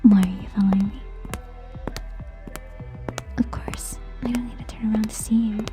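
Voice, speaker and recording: A woman playing a haughty, teasing tsundere vampire in a scripted ASMR roleplay, whispering scornfully and deliberately, close to the microphone. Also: mid-range voice, fairly even intonation, music in the background, lightly acted. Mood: irritated, lecturing.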